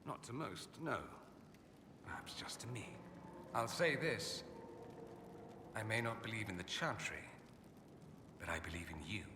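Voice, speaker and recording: An actor playing a witty, haughty mage from a fantasy empire, speaking with suave, theatrically arch sarcasm in a video game. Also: male voice, on the low side, a little slow, pauses often, recorded from a distance, lightly acted. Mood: reflective, sincere. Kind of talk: video game character dialogue. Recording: echoey hall, clear background ambience noise.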